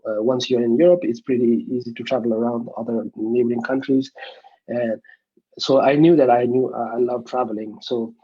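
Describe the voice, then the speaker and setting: A young man from Nepal, talking as an interview guest in a halting way, full of fillers and restarts. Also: medium pitch, fairly even intonation, husky, highs cut off. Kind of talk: casual interview over a video call.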